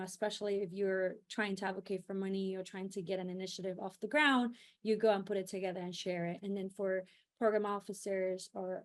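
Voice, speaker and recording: A woman's voice: medium pitch, a little mellow. Earnest woman panelist from New York City. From New York City, United States. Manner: earnest, explanatory, many fillers and restarts. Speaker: panelist, youth civic organizer. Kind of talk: panel discussion answer.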